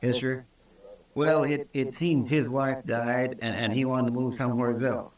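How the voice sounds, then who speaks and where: A man plays a simple frontier townsman in a vintage radio western. The delivery is folksy, halting and earnest, at a middle pitch. The old recording is roomy and slightly distant. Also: flat delivery, close to an everyday voice. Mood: earnest, deferential.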